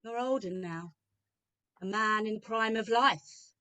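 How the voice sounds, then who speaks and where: A woman playing a solemn, relentless ghostly spirit in a radio-style drama over a video call, deliberate, dramatic and commanding. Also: medium pitch, sharp tone, clean tone. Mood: accusing. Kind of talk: rehearsed radio-script drama reading.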